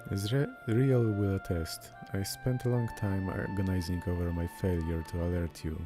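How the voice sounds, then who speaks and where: A man's voice: low-pitched, fairly even intonation, moderate pace. A man reading game dialogue aloud as a streamer, sometimes stumbling over words and repeating them, with game music behind him.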